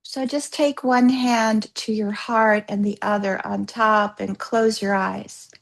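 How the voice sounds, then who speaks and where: A woman leading a guided yoga nidra practice as a yoga therapist, gently instructing in a soothing, unhurried way with pauses. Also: medium pitch, fairly even intonation, vocal fry, rough recording.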